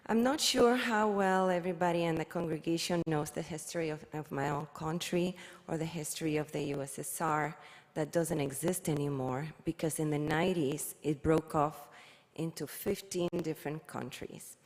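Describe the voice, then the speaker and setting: A middle-aged woman from Cuba, giving a measured, careful reflection at a service. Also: sits low. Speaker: worship leader. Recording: long hall reverb.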